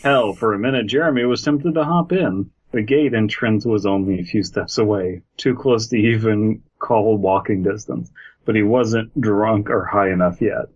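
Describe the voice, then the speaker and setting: A man's voice: lowish voice, moderate pace. A man co-hosting a podcast, reading a story aloud with wry, joking asides. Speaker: podcast co-host. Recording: slightly dull, lo-fi audio.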